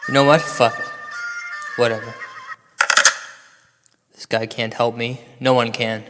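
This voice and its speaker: A man's voice: medium pitch, level tone, measured pace, loud music behind the voice, natural voice. Man playing an anxious, rambling paranormal investigator in reverb. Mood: bitter, hopeless. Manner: hesitant, earnest, rambling. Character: anxious, self-doubting male paranormal investigator, earnest and eccentric. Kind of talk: comedy radio drama acting.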